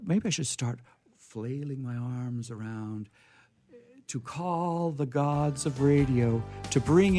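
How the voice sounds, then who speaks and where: A man's voice: on the high side, a little mellow, no echo. A man hosting a radio show, reading short comic stories aloud and chatting in a playful, theatrical way from a studio.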